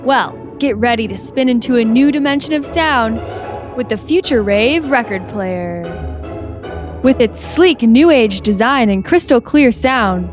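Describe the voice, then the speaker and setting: Woman as peppy, high-voiced sixties ad announcer. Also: a little mellow. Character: peppy groovy 1960s female advert pitchwoman. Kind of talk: 1960s radio advert parody.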